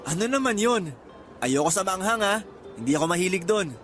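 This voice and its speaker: Young Filipino traveller, earnest, excitable, fairly high voice, radio drama, faint music behind. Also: male voice, a little fast. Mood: curious, teasing. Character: excited young Filipino man on first trip abroad.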